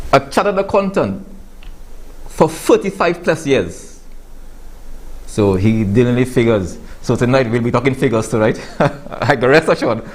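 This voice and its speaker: A man hosting a studio sports talk show, chatty and laughing, often repeating words as he introduces his guest. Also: medium pitch.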